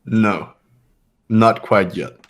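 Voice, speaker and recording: Man as a deep-voiced arrogant young heir, cold and dismissive. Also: extremely slow. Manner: cold, condescending. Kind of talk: fan voice acting of a game.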